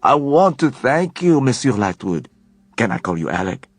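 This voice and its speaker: A man's voice: medium pitch, sing-song, normal speed, no echo, faint music behind, pitched above the performer's own voice. A man voicing a flamboyant young French man with a French accent, gushing and theatrical. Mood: effusive. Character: flamboyant effusive young French male Shadowhunter.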